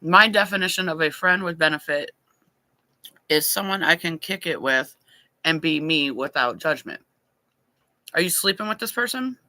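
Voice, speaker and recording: A woman's voice: low voice, animated, moderate pace, pauses often, pushed and bright, no echo, rough recording. A woman in her thirties hosting a live talk panel and asking candid, probing questions. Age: 30s. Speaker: host.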